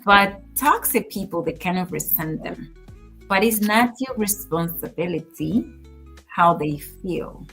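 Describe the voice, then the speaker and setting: Woman, low voice, earnest and measured, podcast co-host, faint music underneath. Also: expressive, creaky, a bit bright, rough recording. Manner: earnest, advice-giving, measured. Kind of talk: casual podcast conversation.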